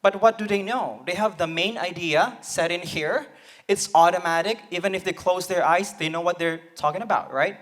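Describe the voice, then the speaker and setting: A man's voice: high voice, moderate pace, fairly bright tone. A man on a conference panel, explaining his point with rhetorical questions. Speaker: panelist, presentation design agency founder. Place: conference hall stage.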